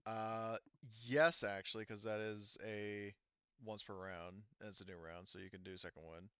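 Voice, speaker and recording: A man's voice: fairly low pitch, fairly even intonation, moderate pace, fairly bright tone, clean tone, no room sound, muffled recording. A man casually narrating a tabletop game as game master, thinking aloud through the rules in an informal way.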